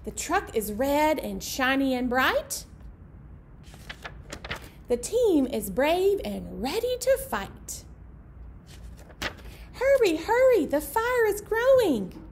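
Woman in her thirties, high-pitched, very animated, children's librarian, encouraging, read-aloud style, background noise. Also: takes it slowly, long pauses. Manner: encouraging, simple child-directed phrasing. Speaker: children's librarian, storytime host. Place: indoor library program room.

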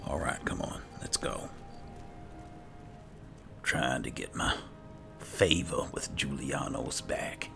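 A man with an extremely deep, heavily creaky voice talks through a roleplay game. His pitch moves around freely, and he speaks in a low-key, muttering way with music playing behind him. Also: expressive, slow, pauses often. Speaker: gamer playing in character. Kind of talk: casual roleplay gameplay narration.

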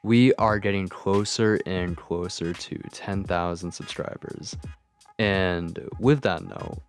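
A man's voice: low-pitched, slow pace, faint music behind. A man speaking as host, giving an announcement to the subscribers of his channel. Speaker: host, audio roleplay creator.

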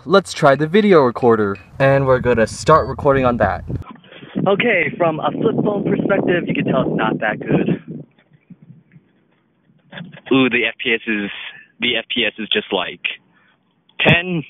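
A man's voice: mid-range voice, very animated, measured pace, background noise. A young man hosting a jokey product-testing vlog, recorded outdoors on a camera mic. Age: young adult. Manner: playful, jokey, counts down drop tests. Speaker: YouTube host. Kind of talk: comedic product-test vlog. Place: outdoors in a dirt yard.

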